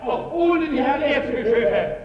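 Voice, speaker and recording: An actor playing a scornful fascist general declaiming war propaganda over the radio in a radio play. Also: male voice, very high voice, lively intonation, unhurried. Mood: scornful ranting. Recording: echoing, dull, noisy radio broadcast sound.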